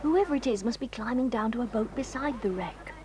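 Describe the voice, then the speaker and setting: A woman acts out a brave, sensible teenage boy on an old story tape. His voice sits fairly high and comes in urgent, hushed whispers. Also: lively intonation, a little fast, a little hiss or hum, natural voice. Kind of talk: 1980s radio-style audio drama.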